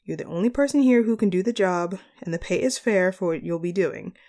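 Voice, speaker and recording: Woman playing a mellow, formal supervillain boss lady. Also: on the low side, lively intonation. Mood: mildly exasperated, businesslike. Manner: formal, measured, articulate phrasing. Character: composed, courteous female supervillain boss, pragmatic and secretly kind. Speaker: audio roleplay performer. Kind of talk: scripted audio roleplay monologue addressed to the listener.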